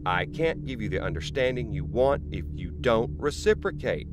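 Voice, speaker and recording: A man's voice: mid-range voice, big pitch swings, normal speed, over music. A man playing a stern, controlling corporate board member in an audio drama, curt and authoritative. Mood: stern, dismissive. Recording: clearly noisy background ambience.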